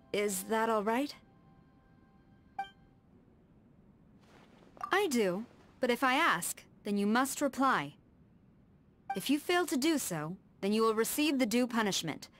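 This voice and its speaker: An actress playing a stern, proud tengu warrior woman in a video game, with composed, firm and measured formal speech. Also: fairly high pitch, lively intonation, fairly bright tone. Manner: formal, measured, precise diction. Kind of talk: scripted video game character dialogue. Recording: studio voice over background music.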